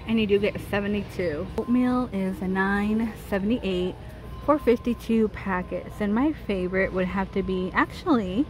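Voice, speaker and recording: A woman's voice: medium pitch, poor audio. A chatty woman in her thirties strolls a big warehouse store and reads off prices to her viewers. She talks in an easy, offhand way with small laughs. Faint store music plays behind her. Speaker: shopping vlogger. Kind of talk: casual shop-with-me narration. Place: large warehouse store, indoors. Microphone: handheld phone camera, first-person view.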